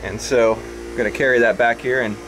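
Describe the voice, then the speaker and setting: A man's voice: medium pitch, steady tone, a little fast, noisy background. A man in his thirties giving a plain, matter-of-fact walkthrough of his own boat repair, filmed with a head-mounted camera in a cramped engine room. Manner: plain, matter-of-fact explaining while working. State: sweating and out of breath. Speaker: boat owner showing a repair. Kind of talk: casual DIY narration.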